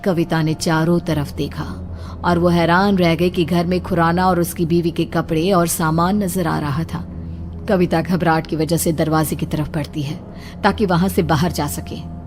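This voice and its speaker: A woman's voice: lowish voice, steady tone, moderate pace, a little mellow, slight background noise. A woman reading scripted horror story narration as the storyteller.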